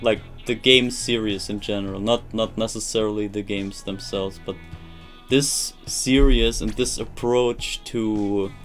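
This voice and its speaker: A young man who grew up speaking German rambles through a casual live-stream chat in English. His voice sits low and he talks slowly, often restarting as he hunts for the right words, while quiet game music plays behind him. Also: fairly even intonation.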